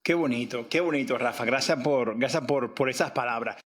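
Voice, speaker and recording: A man's voice: mid-range voice, brisk, a bit bright, husky. A man hosting a podcast interview, introducing a guest in an enthusiastic, effusive way. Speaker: podcast host.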